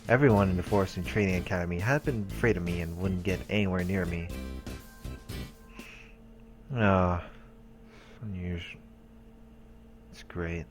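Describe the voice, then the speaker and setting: A man's voice: low voice, moderate pace, pauses often. A man hosting a let's play, casually reading a visual novel's story text aloud. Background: game music.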